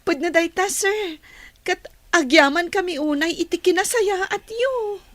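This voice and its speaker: A woman playing a curious, outspoken young working student in a radio drama, speaking in an expressive, questioning way. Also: very high-pitched, unhurried, no room sound. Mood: grateful.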